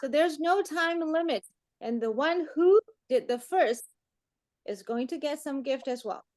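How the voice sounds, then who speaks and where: High-pitched playful woman teacher in her forties. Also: lively intonation, measured pace, a little mellow, no echo, clean studio sound. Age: 40s. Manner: playful, teasing, often chuckling. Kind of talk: interactive online class chat.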